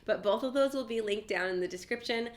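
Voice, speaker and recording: A woman's voice: a little high, a little fast. Chatty, slightly nasal woman. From Southern California. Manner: friendly and chatty, frequent "like" fillers and light laughs. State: stuffy nose from a cold. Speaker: knitting vlogger, host. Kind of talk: casual chatty vlog.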